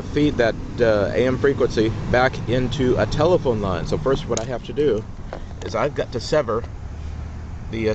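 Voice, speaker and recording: A man giving an informal hands-on tutorial as a DIY presenter, explaining step by step in a halting way. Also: medium pitch. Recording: dull lo-fi camera audio, loud noise.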